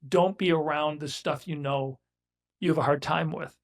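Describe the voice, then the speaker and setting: A man's voice: fairly high pitch, fairly even intonation, normal speed, creaky. Blunt, emphatic man in his sixties. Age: 60s. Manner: blunt and emphatic, with frequent repeated restarts. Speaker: guest, business owner. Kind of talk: casual conversational interview.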